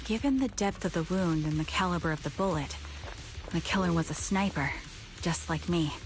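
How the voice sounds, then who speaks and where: A woman playing a calm, methodical military sniper in a video game, speaking in a clinical, matter-of-fact way. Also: fairly low pitch, normal speed, close to an everyday voice. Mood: analytical, composed. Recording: loud game music behind. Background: loud video game music.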